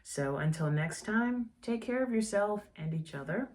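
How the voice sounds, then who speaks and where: A woman with a stuffy, nasal voice from a cold, hosting a tea vlog in a gentle, savoring way and leading a guided breathing meditation. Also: medium pitch, animated, normal speed. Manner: gentle, savoring, softly enthusiastic. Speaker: tea and book channel host.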